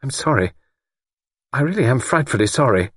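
A man puts on a brisk young woman for an audiobook, pitched up and very animated. She is forthright and self-assured, with a short, matter-of-fact way of speaking. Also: husky, dry recording, clean studio sound, exaggerated voice. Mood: uncomfortable apology. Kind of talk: audiobook character acting.

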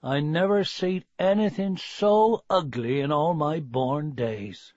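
Man acting a husky rustic old farmer. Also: fairly high pitch, slow pace, a little mellow, dry recording, muffled recording. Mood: grimly apologetic. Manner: rustic, solemn, blunt. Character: gruff, soft-hearted old male farmer with rustic speech.

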